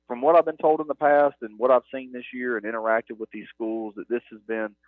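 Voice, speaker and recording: A man's voice: mid-range voice, level tone, a little fast, no echo. A man who runs game operations at a stadium, a guest on a halftime radio interview, talking earnestly and rambling with many "you know"s. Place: press box broadcast booth at a stadium. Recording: narrow phone-like radio broadcast, dry.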